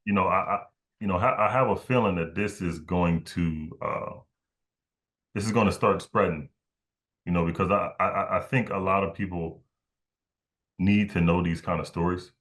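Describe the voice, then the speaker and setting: A man hosting a casual podcast interview, talking in a halting, meandering way and often repeating words. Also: deep voice, a bit bright.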